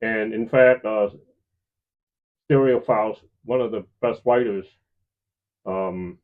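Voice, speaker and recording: A man's voice: fairly low pitch, slow pace. A man hosting a hi-fi video channel, presenting to viewers in a semi-scripted way. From lives in the Chicago area, United States. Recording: slightly dull, lo-fi voice recording.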